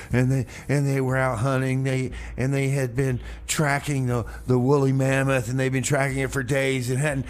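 A man's voice: mid-range voice, slight background noise. A man hosting a community radio music show, rambling and stammering through his stories. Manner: rambling, stammering, repeats himself. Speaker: radio DJ host. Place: radio studio.

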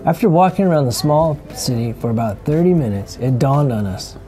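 A middle-aged man giving calm, scripted voice-over narration for a sailing travel vlog, explaining things with dry humor. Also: mid-range voice, fairly quick, music in the background.

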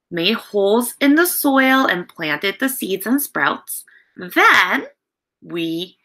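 A woman's voice: fairly high pitch, pushed and bright. A middle-aged woman reading a picture book aloud to young children as a teacher, explaining expressively and addressing them directly over a video call. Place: home room with bookshelves.

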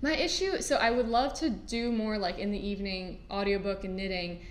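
A young woman co-hosting a casual podcast chat, animated and peppering her talk with "like". She speaks in a large workshop. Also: medium pitch, brisk. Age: young adult. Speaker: co-host of a mill's video podcast. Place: indoors in a large mill workshop with machinery. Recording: roomy, echoey workshop sound.

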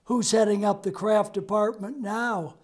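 A man reading the part of a wry, steady old grandfather, in slow, measured blank verse. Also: high-pitched, voice pushed up. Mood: dry, wry.

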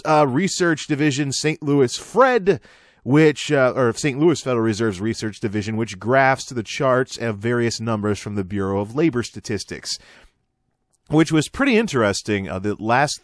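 A man's voice: mid-range voice, dry recording, clean studio sound. An American man hosting a radio-style commentary, reading news and ranting about it with wry, emphatic asides. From United States. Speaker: host of an online radio-style commentary show.

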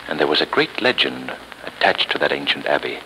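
An actor playing a confident, shrewd gentleman sleuth and secret avenger, measured and dramatic in 1930s radio style. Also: extremely low pitch, sing-song, vocal fry, exaggerated voice. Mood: calm explaining. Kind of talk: 1930s radio mystery acting. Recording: noisy 1938 radio recording.

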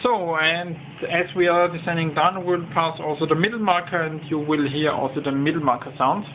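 A man giving an informal demonstration, speaking haltingly with pauses mid-sentence, recorded with a tinny, narrow sound. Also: a little high, fairly even intonation. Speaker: demonstrator of a home-built flight simulator. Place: indoor home cockpit simulator room. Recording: tinny narrow-band phone-like sound, noisy background.

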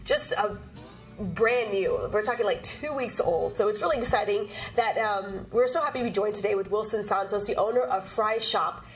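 A woman in her thirties hosting a TV food segment in a studio, upbeat and quick to laugh with her guest. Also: mid-range voice, soft background music, poor audio. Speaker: TV food show host.

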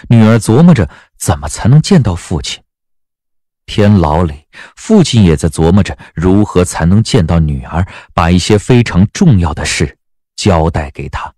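A man narrates a scripted audio drama in a very deep voice. His delivery is measured and dramatic, with widely moving intonation over faint background music. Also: darkish tone.

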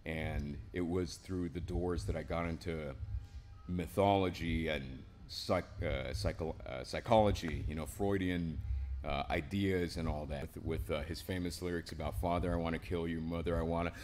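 A man in his twenties with a deep voice, telling his story in a relaxed, rambling way, often tossing in "man" and "right?". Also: moderate pace. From Miami, Florida. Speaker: poet, interview guest. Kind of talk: casual interview. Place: small indoor studio with a painted mural wall.

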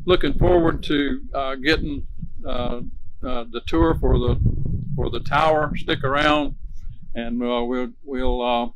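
An older man speaks as a county official at an outdoor ceremony. His delivery is halting, with pauses as he searches for words. His pitch is medium, and there is some noise behind the podium microphone. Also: steady tone. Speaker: county board official giving a speech. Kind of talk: dedication ceremony speech. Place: outdoor podium by a brick building. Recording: noisy outdoor podium recording.

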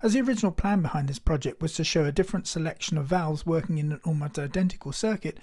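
A man giving a measured, instructional scripted technical narration as a presenter. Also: a little high, a little mellow. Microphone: voice-over.

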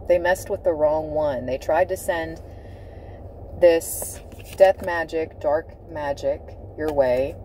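A woman giving a tarot reading from inside a car, speaking in a slow, dramatic way and repeating key phrases for emphasis. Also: deep voice, mellow, slight background noise, low-quality recording. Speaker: tarot reader. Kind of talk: tarot card reading to camera.

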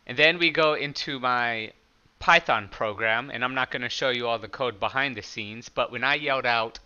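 Loud, bright-voiced man explaining code. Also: mid-range voice, moderate pace, smooth, dull audio. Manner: loud speaking voice; plain, conversational explaining with small restarts. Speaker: hobbyist programmer showing his project. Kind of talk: casual screen-recorded tutorial.

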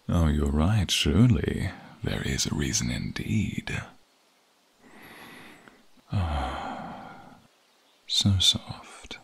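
Casting: a male actor as a smug, menacing fantasy archmage for ASMR roleplay, very low and husky, teasing and seductive. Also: a bit bright, full character voice. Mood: possessive, seductive. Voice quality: husky, breathy, gravelly. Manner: slow, teasing, smug, drawn-out murmurs. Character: alluring, menacing male archmage, smugly toying with captive.

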